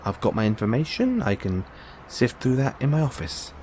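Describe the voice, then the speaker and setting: A man with a British accent acting in an audio roleplay as a kindly, anxious, furtive android owner, speaking haltingly with stammers. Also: medium pitch, big pitch swings, darkish tone, a little hiss or hum, close to an everyday voice. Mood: quietly determined.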